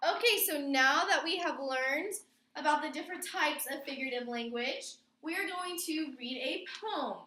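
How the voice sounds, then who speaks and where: A woman's voice: high voice, normal speed, background noise. A woman teacher leading a classroom lesson and reading poetry aloud, instructing and asking prompting questions in an indoor room. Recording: roomy, echoing classroom sound.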